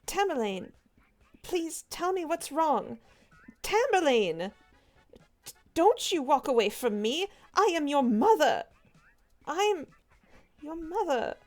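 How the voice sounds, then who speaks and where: A woman's voice: high-pitched, very animated, slow pace, pauses often, a little mellow, soft background music, full character voice. A woman doing a pleading, anxious mother. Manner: pleading, worried.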